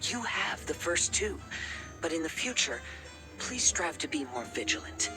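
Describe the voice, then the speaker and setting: A man voicing a composed, courteous raven retainer in a video game, formal and measured. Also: a little high, sing-song. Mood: gently advising. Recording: music under the voice.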